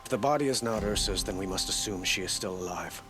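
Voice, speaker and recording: A man acts as a dignified king with a fairly low voice in a game cutscene. He is formal, gracious and resolute, phrasing things in a stately way. Faint music sits behind him. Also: fast, natural voice. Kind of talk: video game cutscene acting.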